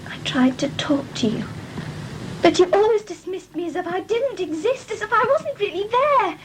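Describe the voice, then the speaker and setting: A woman's voice: extremely high pitch, lively intonation, moderate pace, slight background noise, pitched above the performer's own voice, poor audio. A woman playing a hurt, pleading lover in a serious kitchen-sink audio drama.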